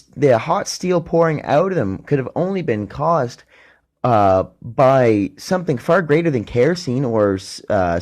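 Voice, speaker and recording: Low-voiced man rambling on his podcast. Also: darkish tone. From Canada. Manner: profane, hesitant, full of restarts. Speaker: podcast host. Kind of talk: rambling monologue.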